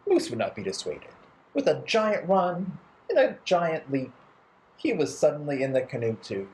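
Animated man telling children's stories. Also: on the high side, slight background noise, rough recording. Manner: playful, theatrical, deliberate phrasing. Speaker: children's library storyteller. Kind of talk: animated children's storytime narration. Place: bright living room indoors.

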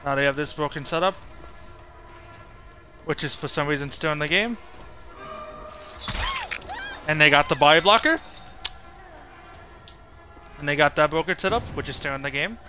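Higher-voiced young man over game music. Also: brisk, pauses often, pushed and bright. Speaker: game streamer. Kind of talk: live gameplay commentary. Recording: game music and sounds behind. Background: horror game music and effects.

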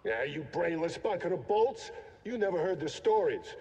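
A man's voice: a little high, expressive, moderate pace, lo-fi sound, a crowd in the background. A man playing a cynical, self-interested mercenary raider in a video game, grumbling in sardonic, colloquial speech. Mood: scornful. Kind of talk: video game character dialogue. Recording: reverberant game capture, faint noise.

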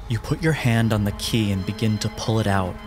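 A man narrating a horror tabletop game as game master, in a deliberate, descriptive, atmospheric storytelling manner. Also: on the low side, music in the background.

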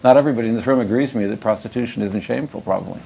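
A man's voice: medium pitch, very fast, gravelly, warm tone, slightly rough. Man, older, lecturer, conversational, digressive, wry. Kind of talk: academic lecture with Q&A.

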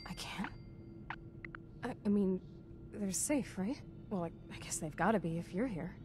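A woman's voice: medium pitch, expressive, unhurried, noisy background, close to an everyday voice. A woman playing a weary, tough young vault dweller in a video game, blunt and informal as a protective sister. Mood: hesitant, hopeful. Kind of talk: video game dialogue acting. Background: game music.

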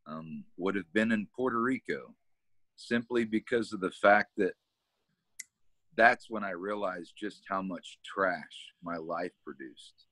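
A man from Kansas in his thirties with a deep voice, telling his story in a relaxed, casual way during an interview over a video call. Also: slow, no echo. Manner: relaxed storytelling, laughs often, repeats words when starting. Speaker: environmental educator guest. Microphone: webcam on a video call.

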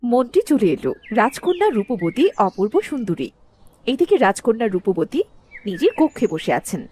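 A woman's voice: on the high side, big pitch swings, moderate pace, darkish tone, a little hiss or hum. A woman narrating a cartoon fairy tale in a scripted storytelling style.

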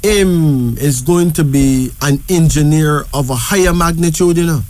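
Mellow-voiced Barbadian man reading cards. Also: on the high side, a little slow. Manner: chatty, reassuring, tag questions. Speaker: card reader. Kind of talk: casual card reading chat.

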